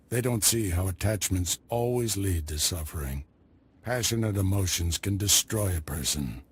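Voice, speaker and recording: A grave, lowish Jedi master. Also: male voice, slightly rough, exaggerated voice. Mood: reflective. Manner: measured, grave, instructive. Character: stern calm male Jedi master, wise.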